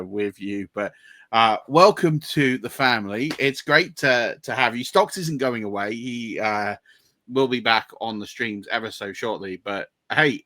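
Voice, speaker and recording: A man's voice: fairly low pitch, bright, forceful tone, no echo. A man hosting a podcast, chatting casually in an upbeat, welcoming way. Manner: upbeat, welcoming, many fillers. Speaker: podcast host.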